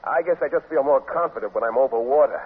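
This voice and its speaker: An actor playing a bashful, earnest biology teacher who speaks in hesitant, wordy phrases in a 1950s radio comedy. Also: male voice, medium pitch, quick pace, breathy edge, natural voice. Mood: flustered, earnest. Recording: tinny old radio broadcast, faint noise.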